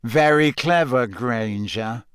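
A man voicing a smug, menacing teenage boy villain in an audiobook, speaking slowly and tauntingly. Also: mid-range voice, clear, resonant tone, no room sound, studio quality. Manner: slow, soft, taunting. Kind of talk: audiobook character acting.

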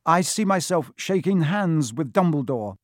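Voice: mid-range voice, animated, unhurried, dry recording, clean studio sound, pitched above the performer's own voice. Storybook boy hero read by a narrator: young, scared but defiant, urgent, earnest. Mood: nervous bluffing.

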